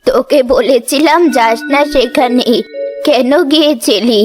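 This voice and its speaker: A woman's voice: high-pitched, unhurried. A woman voicing a poor, kind old village mother in a cartoon story, tender and plaintive, over music. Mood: chiding. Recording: music behind the voice.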